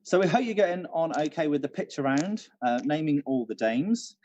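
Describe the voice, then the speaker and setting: A British man co-hosts a quiz, moving between announcements and casual chat. His mellow voice swings freely in pitch. The recording is dull and muffled. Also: expressive, normal speed, slight background noise. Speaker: quiz co-host, venue manager.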